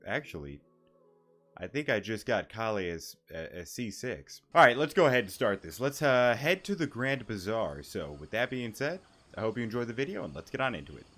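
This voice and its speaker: A man giving casual let's-play commentary, rambling and halting, with a joking manner. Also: on the low side, big pitch swings, fairly bright tone. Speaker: gaming YouTuber. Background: game music.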